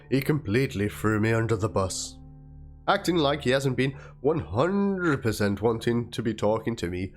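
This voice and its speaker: A man reading a written document and chat messages aloud in a theatrical manner, as a dramatic narration. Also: fairly low pitch. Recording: music under the voice. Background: instrumental background music.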